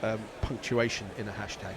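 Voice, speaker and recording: A man's voice: lowish voice, fairly even intonation, fairly quick, background noise. A man giving live ball-by-ball cricket commentary on internet radio, with dry, wry asides between deliveries.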